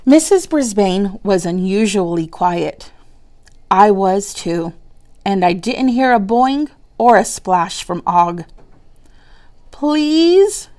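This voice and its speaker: A woman teacher narrating a children's book aloud in a deliberate, expressive way. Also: mid-range voice. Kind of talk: storybook narration.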